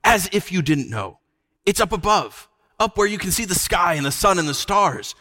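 Guy doing an annoyed schoolboy in a storybook, high voice, impatient n emphatic. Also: lively intonation, normal speed, pushed and bright, heavily acted. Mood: exasperated. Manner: exasperated, emphatic. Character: indignant, impatient schoolboy fighting off enchantment. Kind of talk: audiobook character voice.

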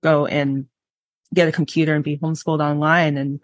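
A woman in her twenties sharing personal experience candidly as an interview guest, pausing to choose her words. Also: deep voice, little pitch movement, no room sound, clean studio sound. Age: 20s.

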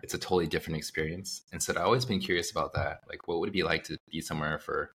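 Guest: thirties American man, very low but bright voice, loose rambling delivery. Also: racing, a little hiss or hum. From United States. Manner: relaxed, rambling with many fillers and self-corrections. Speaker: startup founder, guest. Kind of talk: casual conversational interview.

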